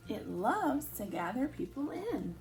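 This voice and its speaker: A woman's voice: high-pitched, very animated, a little slow, a little mellow, recorded from a distance. A woman reading a picture book aloud for young children, expressively and with questions to her listeners. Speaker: children's storyteller at a church.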